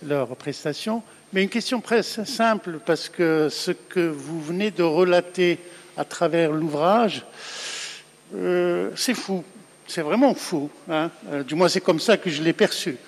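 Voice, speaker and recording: A man's voice: a little high, unhurried. A man in an audience asking a spontaneous question into a handed microphone, hesitant and wordy in getting to it. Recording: reverberant hall microphone.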